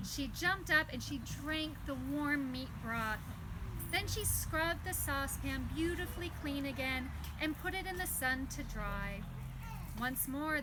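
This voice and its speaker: A woman narrating a puppet show live outdoors as a storyteller, expressive and deliberate. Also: sits high, noisy background. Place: outdoors in a park.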